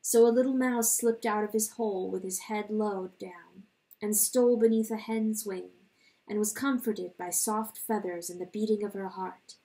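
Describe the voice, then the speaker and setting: A woman reading a literary story dramatically, in a solemn, deliberate narrating style. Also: medium pitch, fairly even intonation, mellow, recorded from a distance, rough recording. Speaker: audiobook reader.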